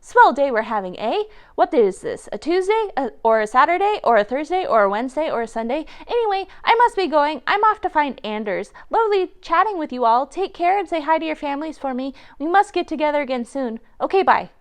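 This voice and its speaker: A woman's voice: high voice, sing-song, a little fast, hardly any pauses. A woman voicing an anxious, socially awkward male bear in a storybook, rambling through flustered small talk and questions. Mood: nervously chatty.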